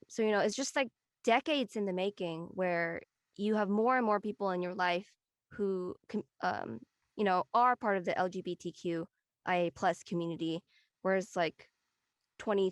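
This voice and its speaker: A woman's voice: on the low side, dry recording, clean studio sound. Tentative woman chatting on a panel. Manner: tentative, rambling, peppered with "you know". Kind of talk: casual reflective discussion.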